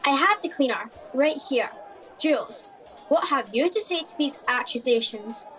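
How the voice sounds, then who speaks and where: A woman playing a dry, patient interviewer in a radio comedy play, with deadpan delivery. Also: high-pitched, normal speed, soft background music. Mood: dry, probing. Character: dry, patient time-travelling interviewer with a microphone.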